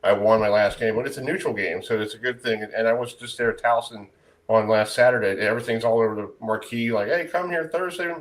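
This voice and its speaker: A man's voice: lowish voice, fast talker. A man with an American accent hosting a sports talk show in a casual, chatty way. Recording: distant from the mic.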